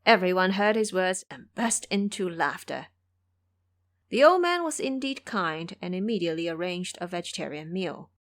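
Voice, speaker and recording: A woman's voice: lowish voice, big pitch swings, moderate pace, a bit bright. A woman narrating a scripted audio drama in a storytelling style.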